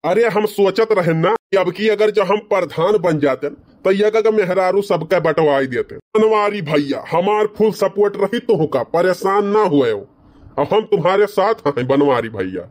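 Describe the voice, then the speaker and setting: A man's voice: high voice, lively intonation, normal speed, darkish tone. A man voicing a bickering, boastful village man in a comedy cartoon, with a rustic Awadhi accent from eastern Uttar Pradesh. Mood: scheming. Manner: colloquial rustic banter.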